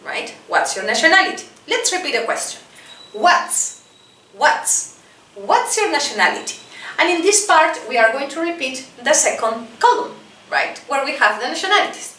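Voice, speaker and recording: A woman's voice: high-pitched, big pitch swings, pushed and bright. A woman teacher leading an English lesson, patiently drilling phrases and often checking in with "right?". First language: Spanish. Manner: slow, patient drilling with frequent "right?" tags. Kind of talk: classroom-style English lesson with repetition drills. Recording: roomy, distant from the mic.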